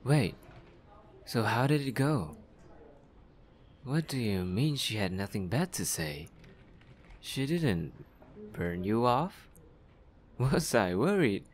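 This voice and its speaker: A man's voice: medium pitch, big pitch swings, slow, pauses often, a little hiss or hum. Man playing a flustered tsundere coworker. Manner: defensive, huffy denials. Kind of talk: ASMR roleplay.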